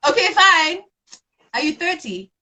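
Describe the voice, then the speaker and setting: A woman in her twenties from Zimbabwe, an actress chatting in an interview in a bubbly, animated way, often laughing. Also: extremely high pitch, unhurried, slight background noise. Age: 20s. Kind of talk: casual interview chat.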